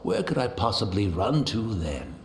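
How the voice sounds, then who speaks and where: Humble, lilting tea master, echoey. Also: male voice, lowish voice, very animated, moderate pace, a little hiss or hum. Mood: resigned, wistful. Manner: courtly, deferential, reflective. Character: humble, serene blind tea master, devoted retainer. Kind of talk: video game voice acting.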